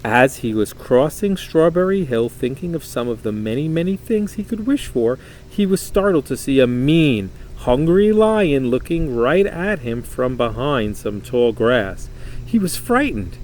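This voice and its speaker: A man reading a children's story aloud in an expressive, dramatic, emphatic way. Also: medium pitch, measured pace, a little hiss or hum, low-quality recording. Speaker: storybook reader.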